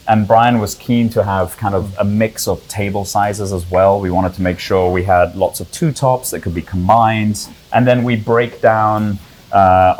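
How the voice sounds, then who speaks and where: A middle-aged man, a designer, explaining a room's design fluently in detail with frequent "kind of" fillers. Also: lowish voice.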